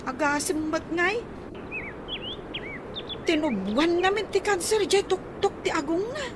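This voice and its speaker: A woman plays an eager young student daughter with an Ilocano accent in a radio comedy drama. Her voice is very high and lively in pitch. Birds and outdoor noise sit behind her. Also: unhurried. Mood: earnest.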